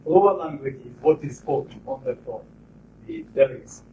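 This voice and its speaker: A middle-aged man with a Japanese accent, lecturing a class in English about interpreting in a measured, explanatory way with pauses between phrases. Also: fairly high pitch, lively intonation, noisy background. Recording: roomy, distant, dull 1990s classroom tape.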